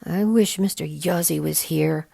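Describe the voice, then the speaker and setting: A woman's voice: low-pitched, measured pace, creaky, dark tone, slightly rough, no room sound, heavily acted. Woman voicing a dry, impatient young heroine. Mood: wistful, wry.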